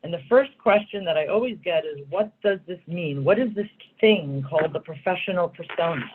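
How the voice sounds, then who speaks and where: A woman's voice: fairly low pitch, a little fast, background noise. A woman law school dean opening a lecture over a video call, gracious and articulate as she thanks her hosts. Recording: tinny phone-like audio.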